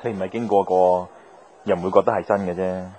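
A man's voice: sits low, level tone, fast, dark tone, a little hiss or hum, natural voice. An actor playing an easygoing, philosophical male wanderer in a Cantonese radio drama.

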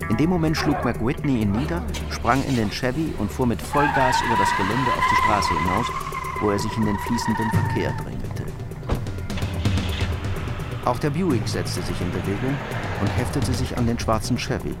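A man's voice: mid-range voice, wildly swooping pitch, normal speed. A man narrating a scripted crime story as the narrator of a radio drama. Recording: loud music behind the voice.

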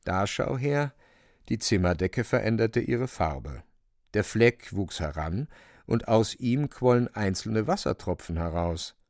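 A man's voice: on the low side, lively intonation, measured pace, a little mellow, dry recording, studio quality. A man narrating a literary radio drama in a dramatic, scripted storytelling style. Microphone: close, dry studio microphone.

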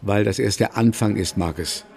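A man playing an older, haunted novelist who confides in a measured way in a German radio drama. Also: on the low side, gravelly, a little mellow. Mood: grave, confiding. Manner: measured, confessional storytelling.

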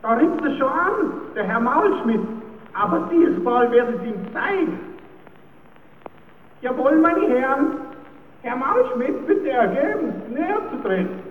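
A noisy old radio recording of a cheeky clown trickster with a very high, piping voice. He is theatrical and comic, like a puppet-show rascal in a radio play. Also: animated, a little slow, long pauses, echoey room, long reverb tail, distant mic, phone-quality sound. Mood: mock-polite taunting. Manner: theatrical, talks to himself.

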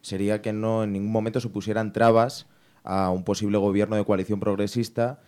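A man speaking as a guest on a political radio panel, building his argument in a measured, methodical way. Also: lowish voice, flat delivery, darkish tone.

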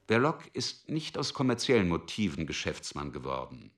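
A man's voice: low voice, sing-song, normal speed, a bit bright. A man narrating a radio drama from a script, precise and matter-of-fact.

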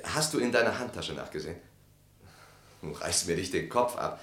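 An actor playing an uneasy, civil husband in a German radio drama, with a hesitant, politely formal way of talking. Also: male voice, deep voice, expressive, normal speed, fairly bright tone, reverberant. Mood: flustered.

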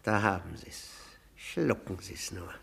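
An actor playing a bitter cuckolded widower in a 1960s German radio drama, fawning and self-abasing, then needling with mock courtesy. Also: male voice, low-pitched, animated, gravelly, a bit bright, husky, full character voice. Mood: bitter, agitated. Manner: mock-courteous, insinuating, with drawn-out words and needling questions.

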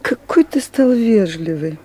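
A woman's voice: medium pitch, animated, measured pace, mellow, slightly rough. An actress playing a lovelorn female office worker, hurt and wryly sarcastic, in a Soviet radio comedy. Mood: bitterly ironic. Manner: wry, wounded, sarcastic.

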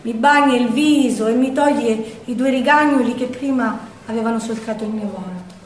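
A woman's voice: fairly high pitch, a little hiss or hum, close to an everyday voice. A woman acting a shaken young partisan caught in a wartime air raid, in an emotive theatrical monologue with a Roman Italian accent. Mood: subdued, tender. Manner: emotive, hushed to urgent, with weighted pauses. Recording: roomy echo, distant microphone.

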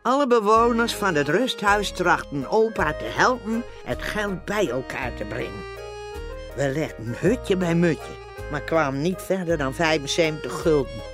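A wry storyteller in a Dutch radio comedy. His voice is a bit high and measured, with a Netherlands accent, and music plays behind the narration. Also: lively intonation. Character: wry male narrator living in a rest home.

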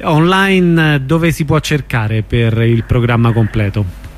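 A man's voice: mid-range voice, steady tone, takes it slowly. A man interviewing a guest on the radio in a casual, conversational style. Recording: noisy radio broadcast audio.

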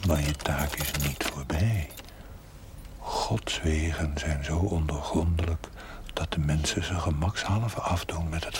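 An actor playing a solemn, mysterious, probing voice of conscience in a 1970s television drama, grave and half-whispered. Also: male voice, extremely low pitch. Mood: cryptic, grave. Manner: slow, grave, knowing. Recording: noisy old television soundtrack.

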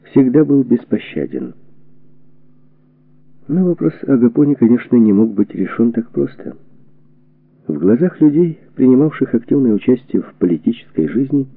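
A man reading a historical book as a scripted audiobook narration, in a measured, deliberate way with weighted pauses, on a narrow lo-fi recording. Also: mid-range voice, vocal fry, slightly rough, no room sound. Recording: narrow phone-like band, lo-fi audio.

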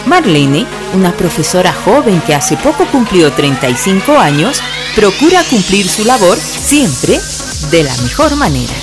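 A woman narrating a scripted radio drama in a deliberate storytelling manner. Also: medium pitch, big pitch swings. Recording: music behind the voice.

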